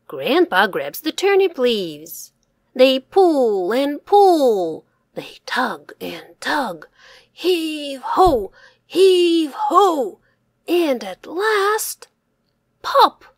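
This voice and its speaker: A woman reading a children's folk tale aloud in slow, expressive, sing-song storytelling. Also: high-pitched, no echo, clean studio sound.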